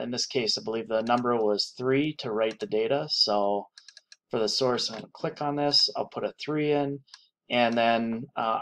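A man giving an unscripted screen-recorded software tutorial, thinking aloud with many pauses and restarts. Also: medium pitch.

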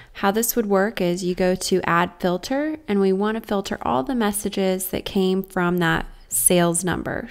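A woman presenting a software tutorial in an informal, explanatory way, like a casual guided walkthrough. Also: mid-range voice. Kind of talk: casual scripted screen-share walkthrough.

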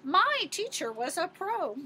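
A woman in her fifties reading a picture book aloud as a teacher, expressive and putting questions to her listeners. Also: extremely high pitch, slow, a little hiss or hum. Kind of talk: animated children's picture-book read-aloud.

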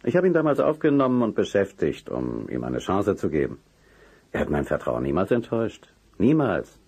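Deep, husky middle-aged businessman uncle. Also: wildly swooping pitch, normal speed, darkish tone, heavily acted. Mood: earnest, defensive. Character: courteous, earnest uncle, a businessman. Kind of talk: German children's radio play acting.

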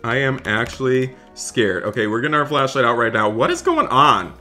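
A young man narrates roleplay gameplay in an emphatic, dramatic way. His voice is very bright and forceful at a middle pitch, and music plays behind him. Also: very animated, moderate pace. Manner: dramatic, emphatic, repetitive exclaiming. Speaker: gaming video creator. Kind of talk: roleplay gameplay commentary for viewers. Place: indoors, voice-over on game footage.

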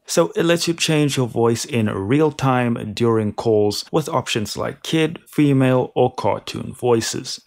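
A man's voice: mid-range voice, gravelly. A man reading a scripted narration as the host of a tech review.

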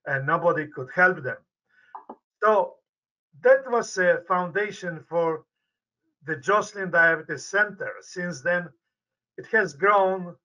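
An older man from Poland with a Polish accent, a medical researcher answering interview questions over a video call, speaking deliberately with many restarts. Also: a little high, pushed and bright. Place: indoor office with banner behind. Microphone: webcam on video call. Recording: dull lo-fi video call audio.

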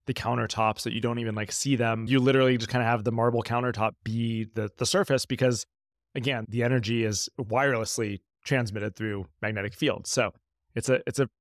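A man's voice: medium pitch, steady tone, brisk, dry recording, clean studio sound. A man hosting a casual podcast interview, reflectively restating and framing points as he talks. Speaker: podcast host.

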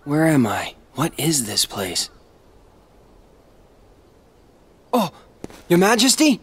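An actor playing an earnest, brooding teenage boy hero in a video game cutscene, speaking haltingly. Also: a little high, huge pitch swings, breathy edge, heavily acted. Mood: bewildered.

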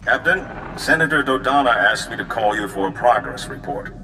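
An actor playing a wry, official male Republic agent in a video game, brisk and knowing. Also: medium pitch, lively intonation, long reverb tail, music in the background, natural voice, rough recording. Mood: businesslike. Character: suave, wry Republic agent man on hologram. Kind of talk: video game dialogue acting.

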